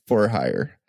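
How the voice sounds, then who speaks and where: A man's voice: fairly low pitch, creaky, darkish tone, dry recording, clean studio sound. A man co-hosting a podcast, joking around and laughing often in casual chat. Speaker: podcast co-host and producer.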